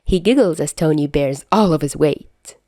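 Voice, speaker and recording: A woman's voice: deep voice, moderate pace, mellow, no room sound, clean studio sound. A woman reading scripted fiction aloud as the narrator. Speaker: podfic narrator.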